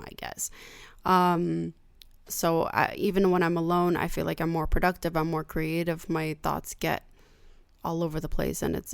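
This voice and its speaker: A woman co-hosting a casual podcast, relaxed and thinking aloud. Her low voice has a gravelly fry but stays smooth and resonant. The sound is dry and close. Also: dry recording. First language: Arabic. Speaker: podcast co-host.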